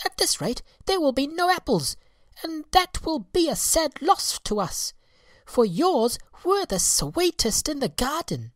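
A little storybook bird, chirps plaintively in dismay. The voice leaps far above normal speech, swinging up and down. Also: female voice, sits high, sing-song, measured pace, no echo, clean studio sound, voice pushed up. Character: small garden birds, chirping and dismayed.

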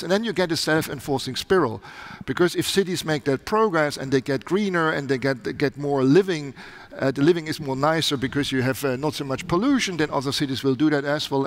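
A man's voice: a little high, a little hiss or hum. A middle-aged man speaking on a conference panel with a headset mic on a large stage, earnest and often restarting his sentences. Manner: earnest, with frequent restarts in non-native phrasing.

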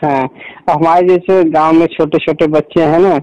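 A man's voice: fairly high pitch, flat delivery, normal speed, a little mellow. A man with an eastern Uttar Pradesh accent chatting casually as an interviewee on a lo-fi phone call. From Prayagraj area, northern India. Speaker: interviewee, field vaccinator. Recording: dull lo-fi recorded phone call.

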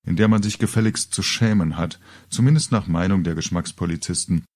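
Deep-voiced man reading a wry story. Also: fairly quick, a little mellow. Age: middle-aged. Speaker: author reading own story. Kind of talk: scripted humorous narration, audio-book reading.

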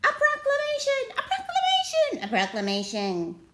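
An eager little boy mole rat from a storybook, played by a woman reader, innocent and cheerful. The voice is high and bouncy, full of wide-eyed delight. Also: huge pitch swings, moderate pace, noisy background, full character voice. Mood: excited. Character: cheerful, innocent young male mole rat who loves clothes.

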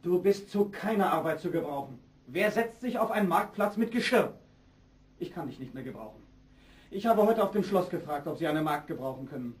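Stern minstrel husband, fairy-tale play, male actor, fairly high voice, scolding, slightly off-mic. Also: expressive, brisk, recorded from a distance, lightly acted.

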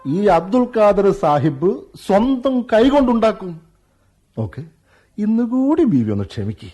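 An actor playing a doting, teasing young husband in a radio drama, with a playful and indulgent manner. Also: male voice, high-pitched, very animated, unhurried, mellow, faint music behind, exaggerated voice. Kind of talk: 1990s-style radio drama acting.

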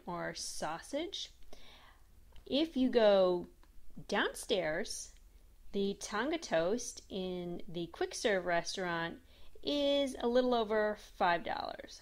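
A woman in her thirties narrates a restaurant review over photos in a relaxed, conversational way. Her voice sits at a middle pitch, and she talks in a friendly, chatty manner with frequent ums.

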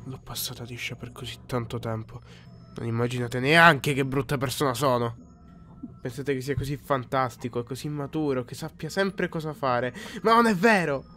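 A man's voice: medium pitch, moderate pace, bright, forceful tone, natural voice. A man voicing a troubled, defensive cartoon teenage boy in an amateur fan dub, swinging from forced cheer to desperate outbursts. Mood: anguished, desperate.

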